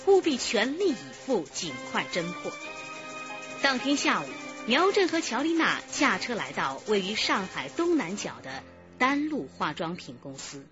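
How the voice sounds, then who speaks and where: A woman reading scripted narration for a radio drama. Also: medium pitch. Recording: dull sound under clear music. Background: music.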